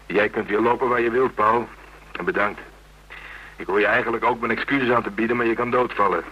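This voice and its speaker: An actor playing a gruff, sardonic, dogged police inspector in a radio drama, speaking bluntly and wryly. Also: male voice, fairly low pitch, steady tone, brisk, highs cut off, slight background noise, close to an everyday voice.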